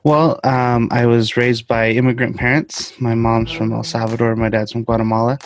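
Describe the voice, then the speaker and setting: A man whose first language is Spanish, answering interview questions in a soft, hesitant way with many pauses. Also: medium pitch, level tone. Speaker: podcast guest.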